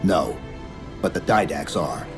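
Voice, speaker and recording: A man's voice: low voice, expressive, slow pace. A man playing a stoic, resolute super-soldier in a video game cutscene, speaking in terse, clipped military phrases. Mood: focused, urgent. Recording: loud cinematic music behind.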